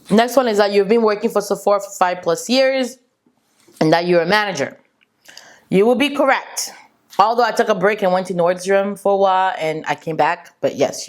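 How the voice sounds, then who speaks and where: A young woman, a French native speaker, chatting sassily with viewers and calling them girl and honey. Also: mid-range voice. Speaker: beauty vlogger. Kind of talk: casual chat answering viewer assumptions.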